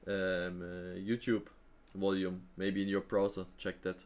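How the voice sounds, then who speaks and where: A man's voice: low-pitched, flat delivery, measured pace, darkish tone. A man hosting a live trading stream, chatting casually with viewers and thinking aloud with fillers and easy laughs.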